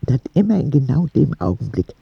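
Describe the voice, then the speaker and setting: A man narrating a radio drama in the first person as a cheeky boy, soft and husky. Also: mid-range voice, sing-song, fast, no room sound, muffled recording, full character voice. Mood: wistful. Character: cheeky ten-year-old boy narrating his own story.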